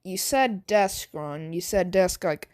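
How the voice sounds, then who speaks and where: A woman voicing a laid-back teenage schoolboy in a story, casual and calmly defiant in an everyday voice. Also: lowish voice, takes it slowly, dry recording, studio quality.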